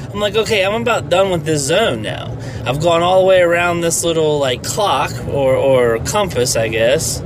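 A man with a nasal, congested voice, rambling through a loose solo podcast and swearing freely. His voice sits slightly high for a man. A clear layer of noise runs under the recording. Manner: profane and rambling. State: stuffy from allergies. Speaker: podcast host. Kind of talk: casual solo podcast rant.

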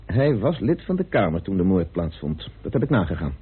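An actor playing a troubled, stubborn amateur detective with an earnest, brooding manner in an old Dutch radio drama. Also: male voice, sits low, big pitch swings, brisk, husky, exaggerated voice. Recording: noisy muffled tinny old radio recording.

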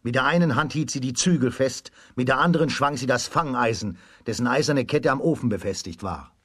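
A man with a husky voice narrating a children's radio fairy tale in a dramatic storytelling manner. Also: medium pitch, fairly quick, a bit bright, a little hiss or hum.

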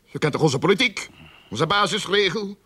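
An actor in a radio play playing a blustering, money-grubbing, lying detective agency boss with a sarcastic, exasperated manner. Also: male voice, high voice, expressive, moderate pace, pushed and bright, breathy edge, full character voice. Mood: bossy, exasperated. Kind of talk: radio drama acting.

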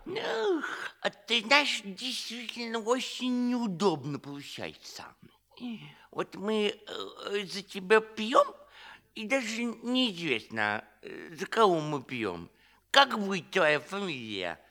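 An actor playing a bumbling, befuddled old clown in a theatrical comedy, halting and repeating himself. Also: male voice, high voice, big pitch swings, gravelly, pushed and bright, heavily acted. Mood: hesitant. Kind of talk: theatrical comic radio play acting.

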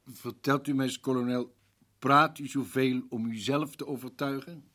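Husky, probing professor. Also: male voice, medium pitch, steady tone, bright, forceful tone. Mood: sceptical, probing. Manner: questioning, deliberate, rhetorical. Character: probing, compassionate male professor, earnest and persistent. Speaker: actor in a radio play. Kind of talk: Dutch radio drama acting.

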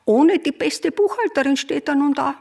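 A woman's voice: sits high, moderate pace, creaky, darkish tone. An actress playing a confused, forgetful elderly retired woman with a Viennese accent in a radio drama. She rambles and talks past the others. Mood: fussing. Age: older. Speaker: mother in a radio play. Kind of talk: broadcast radio drama acting.

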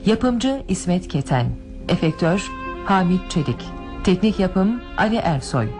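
Formal female announcer over clear music. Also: fairly low pitch, very animated, a little slow. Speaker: radio drama announcer. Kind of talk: formal scripted credits announcement.

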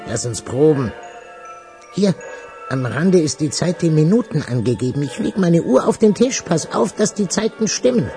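An actor playing a prickly dwarf performer in a radio crime drama, brusque and sarcastic, desperate for money. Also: male voice, on the high side, big pitch swings, normal speed, mellow, soft background music.

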